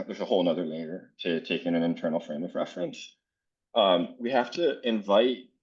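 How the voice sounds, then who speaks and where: A man's voice: low voice, muffled recording. A man from South Florida leading an educator workshop in a casual, earnest way, with many "um" fillers. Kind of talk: conference workshop presentation.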